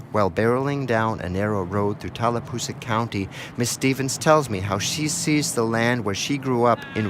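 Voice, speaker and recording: An American man reading a first-person scripted documentary narration. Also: on the low side. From United States. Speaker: narrator, radio documentary author. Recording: clear outdoor and car background noise.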